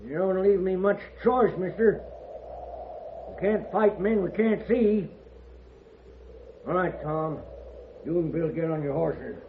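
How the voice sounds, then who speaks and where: Casting: taunting, merciless posse boss for radio Western; high-pitched, on noisy, thin vintage recording. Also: male voice, slow, long pauses, phone-quality sound. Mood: stern, suspicious. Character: hard, taunting Western posse leader set on a hanging. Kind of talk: old-time radio Western acting.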